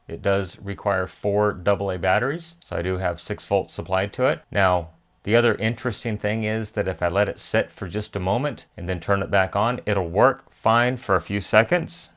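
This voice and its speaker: Male repair-tutorial host, lowish pitch, methodical step-by-step delivery. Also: moderate pace, a bit bright. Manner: methodical, step-by-step, thinking aloud. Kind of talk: hands-on repair tutorial narration. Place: indoor electronics workbench.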